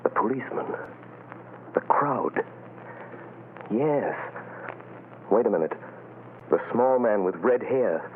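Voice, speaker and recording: Low, slow, commanding mind reader. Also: male voice, animated. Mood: focused, urgent. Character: commanding mysterious male mind reader, intensely focused. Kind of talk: 1940s radio drama acting. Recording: muffled, hissy old radio broadcast.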